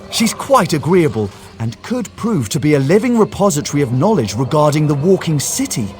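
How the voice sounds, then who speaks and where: Scholarly fantasy game mage: formal, earnest, excitable, animated intonation, faint music behind. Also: male voice, on the high side. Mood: astonished, eager. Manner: polite, formal, expressive. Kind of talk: video game character acting.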